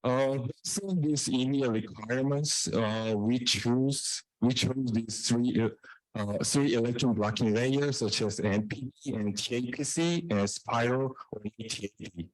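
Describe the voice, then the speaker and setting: Halting man presenting research, close dry sound. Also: medium pitch, no echo, poor audio. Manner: halting, repeats and restarts phrases. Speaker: researcher presenting own work. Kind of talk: technical conference presentation.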